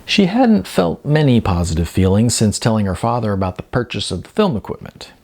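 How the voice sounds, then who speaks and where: Gravelly, husky male storyteller with lively pitch. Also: brisk. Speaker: audiobook narrator. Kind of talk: scripted story narration.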